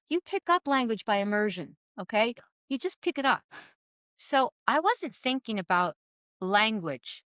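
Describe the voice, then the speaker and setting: A woman in her sixties from California, a former cycling soigneur telling animated stories in an interview and acting out the dialogue. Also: a little high, dry recording, studio quality. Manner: lively, acts out quoted dialogue.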